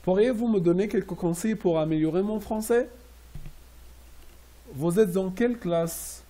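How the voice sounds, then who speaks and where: A man teaching French classroom phrases, repeating each slowly and translating it into English. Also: high voice, dark tone. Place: Riyadh.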